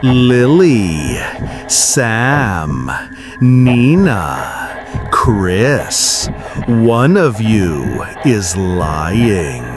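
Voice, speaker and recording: A man's voice: on the low side, big pitch swings, very slow, music in the background, full character voice. A man playing a sinister, mysterious villain in a radio horror drama, ominous and taunting. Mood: menacing.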